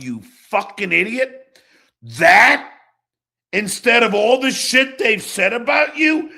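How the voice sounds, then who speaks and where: A man's voice: very high-pitched, vocal fry, pushed and bright. A man hosting a casual talk show, rambling and ranting with lots of swearing and repetition. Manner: foul-mouthed, rambling, repeats himself. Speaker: show host.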